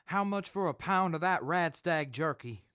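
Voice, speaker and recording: A decisive traveler of the wasteland, acted by a man, with a fairly high, very lively voice. He sounds brisk and businesslike, recorded dry and close for an audio drama. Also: clear, resonant tone, no echo. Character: decisive male wasteland traveler, the group's buyer. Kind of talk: post-apocalyptic audio drama acting.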